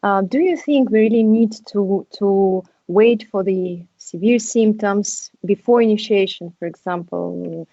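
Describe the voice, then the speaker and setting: A woman's voice: mid-range voice, unhurried, creaky, few pauses, dark tone, no room sound. A woman moderating a medical panel, a native Russian speaker, hesitant and searching for words. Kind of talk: panel discussion. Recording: muffled dry video call audio.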